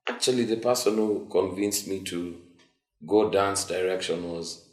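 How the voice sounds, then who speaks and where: A Kenyan man in his forties, a musician guest telling stories in a relaxed way, switching between English and Swahili slang. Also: low-pitched, measured pace. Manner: relaxed storytelling, code-switching. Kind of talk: casual interview in Sheng and English. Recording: roomy echo.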